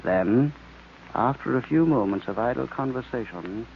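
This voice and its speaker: An actor playing a sinister mastermind hypnotist, slow, deliberate and domineering, in old radio serial style. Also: male voice, mid-range voice, animated, vocal fry. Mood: commanding. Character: commanding male villain, a sinister hypnotist mastermind. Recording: muffled, noisy vintage radio recording.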